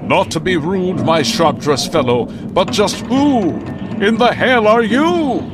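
A man's voice: sits high, expressive, slow pace, music in the background. A man playing a gleefully deranged, mocking and bloodthirsty god in a radio drama, flamboyant and lurching between glee and menace. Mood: manic mockery. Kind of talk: old-time radio drama acting.

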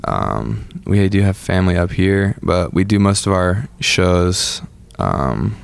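A man's voice: sits low, big pitch swings, takes it slowly, creaky, clean tone, no room sound. A man in his twenties from Atlanta, a musician guest chatting loosely with lots of filler words. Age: 20s. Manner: laid-back, lots of "like" and "um". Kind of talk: casual interview chat.

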